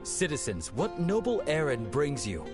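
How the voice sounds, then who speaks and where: An actor playing a courteous armoured knight in a video game, speaking in a formal, declamatory way. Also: male voice, fairly high pitch, very animated, normal speed, music in the background.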